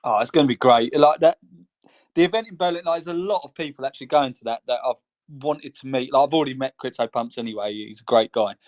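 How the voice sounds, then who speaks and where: A man's voice: mid-range voice, fast talker, a little mellow. A man co-hosting a podcast in casual chat, talking in a rambling, chatty way and laughing between phrases. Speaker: co-host.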